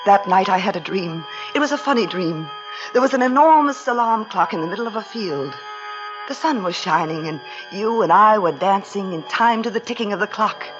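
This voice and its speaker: An actress playing a frightened, guilt-haunted young wife in a 1940s radio thriller, pleading anxiously and often repeating herself. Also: female voice, mid-range voice, lively intonation, fairly quick, close to an everyday voice. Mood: haunted, confiding. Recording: dull old radio recording with music.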